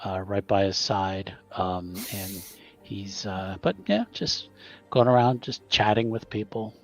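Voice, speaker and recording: A man's voice: fairly low pitch, unhurried, lo-fi sound, faint music behind. A man playing a tabletop roleplaying game, casually talking through his character's actions. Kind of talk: casual improvised game chat.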